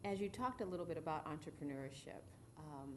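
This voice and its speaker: A woman's voice: deep voice, lively intonation, fairly quick, darkish tone, a little hiss or hum. A woman hosting a talk show from a TV studio, reading formal guest introductions and asking questions with encouraging murmurs.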